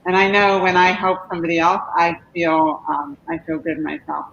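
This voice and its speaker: A woman hosting a conversational live Q&A, affirming what others say and pausing as she goes. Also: on the low side, level tone, gravelly, a bit bright. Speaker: host of live Q&A. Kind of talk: conversational interviewing, reading viewer comments. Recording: dull lo-fi stream with background noise.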